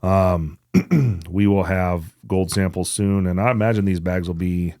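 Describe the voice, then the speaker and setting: A man's voice: low-pitched, a little slow, mellow, no room sound, studio quality. A man hosting a podcast, talking casually about gear in a blunt, joking, sweary way. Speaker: podcast host.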